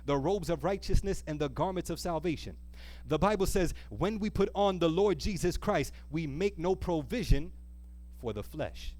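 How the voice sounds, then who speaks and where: Higher-pitched man preaching emphatically. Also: a little mellow. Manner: emphatic, exhorting, pausing to question listeners. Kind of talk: sermon with scripture reading and call-and-response questions. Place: church service, live.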